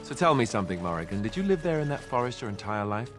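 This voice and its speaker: An actor playing an awkward, wry young warrior in a fantasy game, chatting with flippant sarcasm. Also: male voice, medium pitch, sing-song, fast, music in the background, lightly acted. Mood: curious, incredulous. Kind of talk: fantasy game banter acting.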